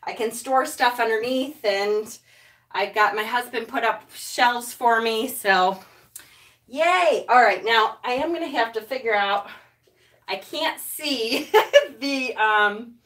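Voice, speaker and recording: A woman with a fairly high, sharply bright voice hosts a casual live stream, loud and chatty as she greets her viewers. She stands a few feet back from the camera in a small room at home, so her voice sounds a little distant. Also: slow. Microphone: camera set a few feet away.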